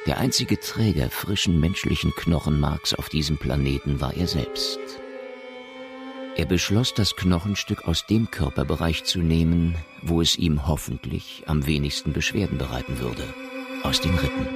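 An extremely deep male voice with a gravelly creak narrates a radio play. The scripted storytelling sits over clearly audible music.

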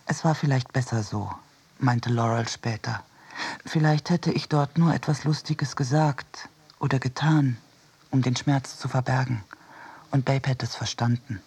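A man reading a scripted literary essay for radio in a measured, deliberate manner. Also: medium pitch, vocal fry, darkish tone, slightly rough. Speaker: reader in a radio production.

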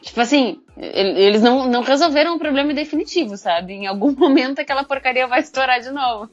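A woman's voice: sits high, expressive, fast talker, soft background music. A Brazilian woman chatting casually as a podcast panelist, informal and sardonic, over a lo-fi connection. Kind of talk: casual chat.

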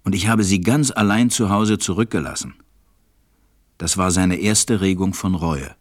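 Very deep-voiced male storybook narrator. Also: moderate pace.

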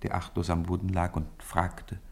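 A man narrating a radio play as a gentle, measured storyteller, with a gravelly, husky voice. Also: low-pitched, monotone. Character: measured, gentle male storyteller of a Christmas tale.

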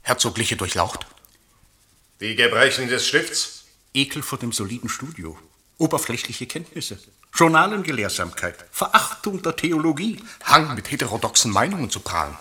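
Disapproving official, piercing raspy voice, lively clipped delivery, radio play. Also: male voice, mid-range voice, normal speed, distant mic, full character voice. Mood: disapproving, indignant. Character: stern, disapproving male seminary official. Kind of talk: radio drama acting.

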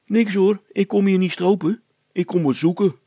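Man voicing a high-pitched cocky poacher boy. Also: steady tone, normal speed, dark tone, no echo. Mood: mocking. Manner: cheeky, stubborn, boastful, colloquial.

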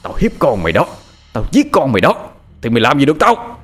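A man's voice: medium pitch, huge pitch swings, fairly quick, fairly bright tone, faint music behind, voice pushed up. A man voicing a brutal old village tyrant who barks threats and curses. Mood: savage. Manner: barking, snarling threats.

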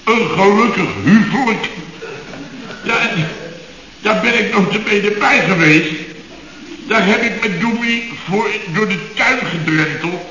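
A man's voice: high voice, slow pace, sharp tone, heavily acted. An actor playing an indignant middle-aged company boss in a radio comedy, sarcastic and sputtering as he repeats himself. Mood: mock agreement. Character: blustering, indignant middle-aged Dutch building contractor boss. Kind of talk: 1970s radio comedy acting. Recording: dull, echoey old radio recording.

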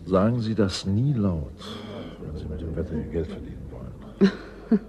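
A man's voice: sits low, big pitch swings, normal speed, muffled recording, background noise. An actor playing a wry, courteous male scientist in a radio drama, absorbed in his own theories and speaking thoughtfully. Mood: wry, businesslike. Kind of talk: German radio drama acting, naturalistic dialogue.

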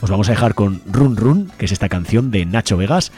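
A man's voice: fairly low pitch, animated, a little fast, darkish tone, breathy edge. A man with a Spanish accent from Spain, presenting a community radio programme in a partly improvised way. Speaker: radio host. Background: music bed.

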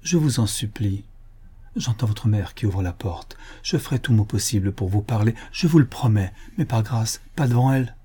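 A man's voice: fairly low pitch, lively intonation, normal speed, warm tone, husky. A man reading the part of a guilt-ridden young man who speaks in a muffled, harsh, curt way. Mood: urgent. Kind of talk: audiobook character reading.

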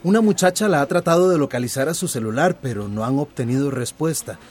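A man's voice: on the high side, fast talker, darkish tone, close to an everyday voice. An actor playing a timid, apologetic male assistant who speaks hesitantly and deferentially in a radio soap opera.

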